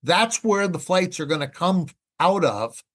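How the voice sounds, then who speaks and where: A man's voice: high-pitched, animated, measured pace, fairly bright tone. A man talking conversationally as an expert podcast guest, emphatic, repeating phrases and posing rhetorical questions. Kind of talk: conversational explaining.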